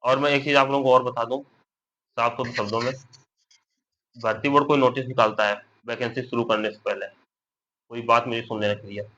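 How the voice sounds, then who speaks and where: Bright, forceful young man explaining. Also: mid-range voice. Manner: repetitive, plain-spoken explaining, with colloquial asides to listeners. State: slightly unwell. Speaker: exam news vlogger. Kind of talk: informal explanatory update to viewers. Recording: dull lo-fi audio, faint background noise.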